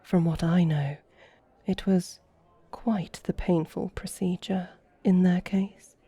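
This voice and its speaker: Actress as elegant female vampire seductress for ASMR roleplay; dark mellow tone, unhurried, teasing. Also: on the low side, fairly even intonation, no room sound, full character voice. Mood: gracious, confiding. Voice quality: soft, breathy. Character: flirtatious, courtly female vampire guiding a guest.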